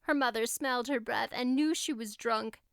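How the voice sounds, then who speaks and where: Audiobook role: wordy, earnest young girl, voiced by a woman, high and plaintive, given to melodrama, very clean tone. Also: normal speed, vocal fry, a bit bright, clear, resonant tone, dry recording, studio quality. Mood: earnest, upset. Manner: effusive, dramatic, plaintive. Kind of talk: audiobook character acting.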